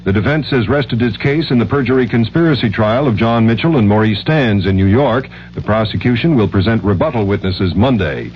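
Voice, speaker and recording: A man's voice: lowish voice, expressive, a little fast, hardly any pauses, a little hiss or hum. An American man anchoring scripted network news on a muffled vintage radio broadcast.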